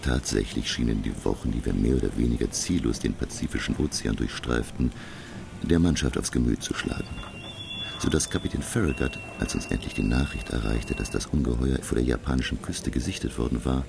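Very deep, gravelly professor narrator. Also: male voice, noisy background, heavily acted. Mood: narrating, intent. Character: learned, self-assured French professor recounting his expedition. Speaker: narrator and lead character. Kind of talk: dramatic scripted narration. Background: music.

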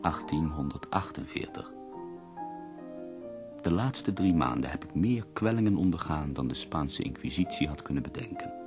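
An actor playing a gravely ill, bitterly ironic poet in a radio drama, speaking in a slow, weary, literary manner. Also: male voice, very deep voice. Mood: wry, suffering. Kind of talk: radio drama monologue and dialogue.

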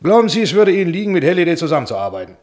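Pompous film studio boss. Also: male voice, a little high, big pitch swings, racing, a little mellow. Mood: self-satisfied, patronizing. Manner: pompous, patronizing, self-satisfied. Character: self-important, jovial middle-aged male film studio boss. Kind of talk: radio drama acting.

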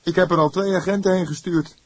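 A man's voice: high-pitched, steady tone, quick pace, husky, no echo, pitched above the performer's own voice. A man voicing an agitated police desk sergeant in an audiobook, urgent and emphatic.

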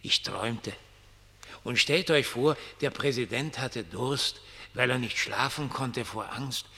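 An actor in a German radio play as a ragged homeless dreamer, mocking, prophetic and pitying, with theatrical, declamatory delivery. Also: male voice, mid-range voice, takes it slowly, pushed and bright, heavily acted. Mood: dreamy, pitying. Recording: long reverberant hall.

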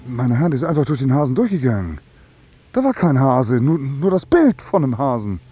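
An actor playing a boozy, slow-witted unemployed locksmith in a German radio play, naive and halting in his colloquial talk. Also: mid-range voice, big pitch swings, dark tone, breathy edge, muffled recording. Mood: baffled, disappointed.

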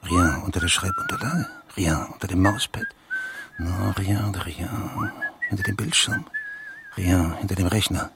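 An actor playing a sly, nervy French snoop in a radio play, muttering tersely. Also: male voice, sits low, huge pitch swings, unhurried, heavy background noise, exaggerated voice. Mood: furtive, tense. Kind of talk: radio play dialogue with muttered, hurried asides.

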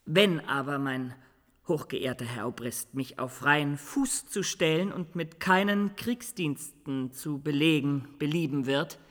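Casting: proud young soldier, period radio drama, higher-pitched forceful voice, courtly and earnest, reverberant room. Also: male voice, unhurried. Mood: resolute. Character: young male soldier, proud, deferential, steadfastly loyal.

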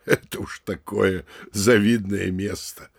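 An actor playing a pompous, anxious middle-aged town mayor in a comedy audio drama, officious and emphatic, with a gravelly, husky voice. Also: male voice, on the low side, fairly even intonation, exaggerated voice. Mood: officious, lecturing. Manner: officious, lecturing, theatrically emphatic with pauses.

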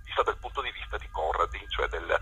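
A man with a very low but sharply forceful voice talks through a tinny telephone line. He explains things off the cuff, halting and doubling back on words. The delivery is that of an interviewed stage director. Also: lively intonation. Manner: halting, repeats words as they form. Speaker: theatre director being interviewed. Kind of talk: spontaneous phone interview.

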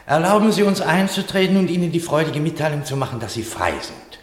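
A man in a radio play acting a gallant, indignant gentleman rescuer who speaks in florid, formal courtesies. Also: a little high, fast talker, lightly acted. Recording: echoey, reverberant radio play sound.

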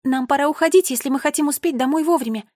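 A woman's voice: high voice, fairly quick, no echo, studio quality, voice pushed up, close to an everyday voice. A woman voicing an anxious, chirpy young girl with a thin little voice in a children's story. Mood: anxious, apologetic. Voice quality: thin, small voice. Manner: fretful, pleading.

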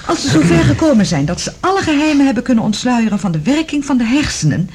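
A woman's voice: a little high, big pitch swings, fast talker, background noise. A woman acting an earnest scientist in a science-fiction radio drama, explaining in an articulate, thoughtful way. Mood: speculative, keen. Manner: expository, articulate.

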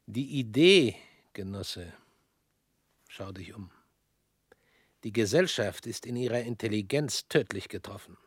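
Radio play casting: a fervent ideologue and former interrogator, lowish voice, whispers then declaims, dry studio sound. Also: male voice, lively intonation, unhurried, pauses often, slightly rough, no echo. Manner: intense, shifting between hushed whispers and raised declamation. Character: former interrogator turned self-declared criminal, man, intense. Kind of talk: radio drama acting.

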